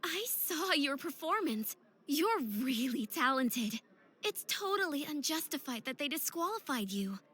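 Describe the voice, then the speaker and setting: A woman voicing a gentle, polite young rival skater in a visual novel game, with a hesitant, soft-mannered delivery. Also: sits high, very animated, measured pace, heavily acted. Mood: kind.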